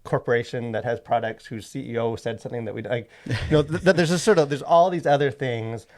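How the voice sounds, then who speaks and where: A man in a casual discussion as a podcast co-host, talking in a rambling, halting way full of filler phrases. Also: mid-range voice, darkish tone. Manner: rambling, halting, packed with "like" and "you know". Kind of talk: casual discussion of faith and culture.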